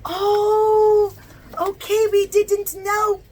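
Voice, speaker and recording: A woman's voice: very high-pitched, monotone, extremely slow, warm tone, pitched above the performer's own voice, heavily acted. A woman voicing earnest, innocent Israelites who plead and explain simply in a children's puppet show. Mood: sheepish.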